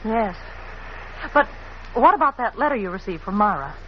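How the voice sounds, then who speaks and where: An actress playing a spirited young leading lady, earnest and expressive, in a muffled 1940s radio drama. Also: medium pitch, moderate pace, close to an everyday voice. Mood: questioning. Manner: expressive, theatrical. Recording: muffled, faintly noisy old radio recording.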